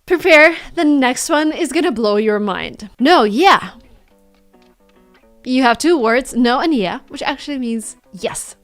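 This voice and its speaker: A woman's voice: sits high, unhurried, faint music behind. Upbeat woman with a Russian accent. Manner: lively, demonstrating phrases with exaggerated intonation. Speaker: English teacher. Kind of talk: online English lesson.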